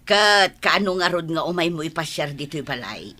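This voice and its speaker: A woman's voice: sits low, big pitch swings, normal speed, sharp tone, full character voice. A woman playing a doting, exclamatory village mother in a comic radio drama. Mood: eager, teasing. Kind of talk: Ilocano radio comedy drama acting.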